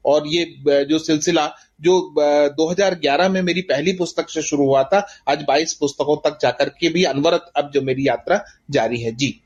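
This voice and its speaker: A middle-aged man with an Indian accent speaks in a high-pitched voice. He gives reflective interview answers as an author, earnest and rhetorical, repeating phrases for emphasis. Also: normal speed, few pauses, highs cut off. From Bastar, India. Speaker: guest author.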